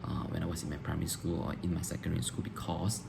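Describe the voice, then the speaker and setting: A man, a teacher answering interview questions on video, pausing often with ums and restarting phrases. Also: very deep voice, little pitch movement, gravelly. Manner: hesitant, frequent ums and restarts. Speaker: teacher applying for a job. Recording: noisy background hiss.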